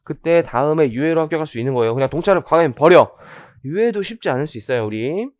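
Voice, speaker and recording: A hoarse man in his thirties giving a chatty orientation lecture on tax law, with joking asides and many fillers. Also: a little high, expressive, fast talker, a little mellow, no room sound. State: hoarse from rhinitis.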